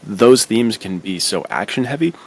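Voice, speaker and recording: A man's voice: fairly low pitch, lively intonation, moderate pace, creaky. A man co-hosting a podcast, chatting casually about films in slangy, buddy-like talk full of "dude" and "like". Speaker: podcast co-host. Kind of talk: casual film chat.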